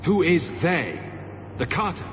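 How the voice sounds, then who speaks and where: Lively, questioning heroic mage. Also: male voice, on the high side, unhurried. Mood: wary, questioning. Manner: direct, questioning. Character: guarded, questioning young heroic male mage. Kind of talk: dramatic fantasy game acting. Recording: long reverb, clear music behind.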